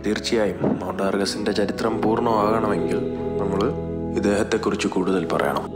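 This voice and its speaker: A man narrates a documentary in a fairly low voice. His delivery is measured and explanatory. Music plays clearly behind him throughout. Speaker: video narrator. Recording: music bed, some dull echoey passages.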